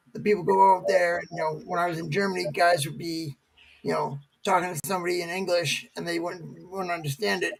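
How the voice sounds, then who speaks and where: Middle-aged man, gravelly voice, fairly high pitch, rambling veteran guest. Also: rough recording. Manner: rambling, many fillers and restarts. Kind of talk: casual talk show chat.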